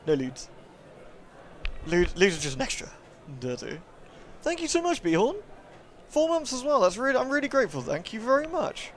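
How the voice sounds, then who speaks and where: A man's voice: extremely high pitch, very animated, normal speed, slight background noise. A man livestreaming, switching between polite, thankful chat with viewers and reading narration aloud. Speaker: live streamer reading a visual novel aloud.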